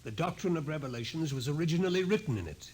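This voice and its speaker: A man playing a composed, coolly analytical scientist in charge, with measured explanatory delivery in 1950s radio drama style. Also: a little high, expressive, a little mellow, husky. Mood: calm, explanatory. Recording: noisy vintage 1950s radio recording.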